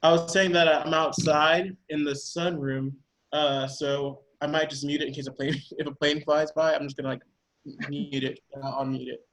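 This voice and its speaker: A man's voice: on the high side, little pitch movement, moderate pace, fairly bright tone. A man chatting casually on a group call from a sunroom. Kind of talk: casual chat.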